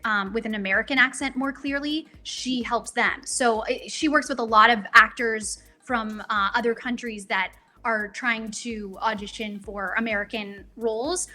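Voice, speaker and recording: A woman's voice: fairly high pitch, sharp tone. A young woman voice actor chatting casually as an interview guest, bubbly and full of ums, on a remote call.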